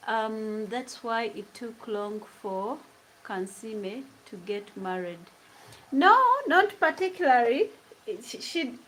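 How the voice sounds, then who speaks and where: Slow-talking Ugandan woman, laughs a lot. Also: mid-range voice, a bit bright, low-quality recording. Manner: chatty, laughs often, drifts between English and Luganda. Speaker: vlogger. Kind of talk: casual chat while cooking, answering live comments. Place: home kitchen.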